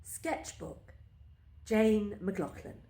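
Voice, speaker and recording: In a roomy, echoing space, a woman reads scripted fiction aloud. Her delivery is slow and deliberate, with lively rises and falls in pitch. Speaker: reader of short stories. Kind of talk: expressive scripted story reading. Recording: echoey, roomy indoor sound.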